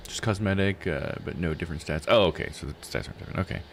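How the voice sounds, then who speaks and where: A man's voice: deep voice, rapid-fire, a little hiss or hum. A man giving casual live game commentary, thinking aloud in a musing, self-questioning way.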